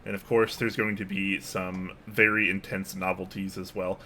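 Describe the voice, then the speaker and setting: A man co-hosting a casual keyboard podcast, relaxed and upbeat, with plenty of fillers. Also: low-pitched, normal speed. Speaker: podcast co-host. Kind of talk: casual chat about keyboards.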